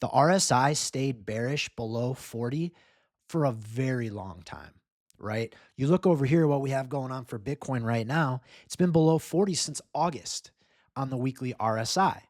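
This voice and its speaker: A man with a medium-pitched voice gives casual commentary as the host of a market channel. He is informal and upbeat, addressing viewers directly. The sound is dry and close, with very little room in it. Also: animated, normal speed, a little mellow, no echo. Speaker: host, crypto market analyst. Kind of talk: casual chart commentary to camera or screen share.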